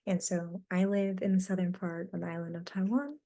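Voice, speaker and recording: A woman speaking as a panelist on a webinar, giving an earnest, measured answer. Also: lowish voice, level tone, mellow. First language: not Mandarin. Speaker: explorer panelist.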